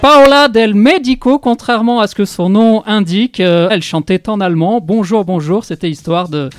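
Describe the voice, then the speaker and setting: A man's voice: high-pitched, normal speed. A man hosting a radio music show in casual, joking chat with many fillers and hesitations.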